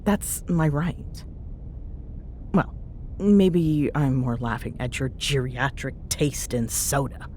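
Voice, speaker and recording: A woman's voice: slow, darkish tone. A woman playing a teasing, bossy but fond young ghost hunter in an intimate ASMR roleplay, bantering sarcastically in a casual, murmuring way. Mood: playfully defensive, then amused, teasing. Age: young adult. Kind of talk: ASMR audio roleplay, intimate one-sided chat. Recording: clear background noise with low rumble.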